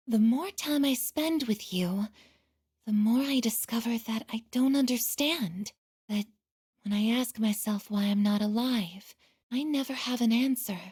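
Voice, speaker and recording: Gentle young female android, game voice acting, higher-pitched, very unhurried, formal, earnest, softly hesitant. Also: husky. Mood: reflective. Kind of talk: English video game voice acting.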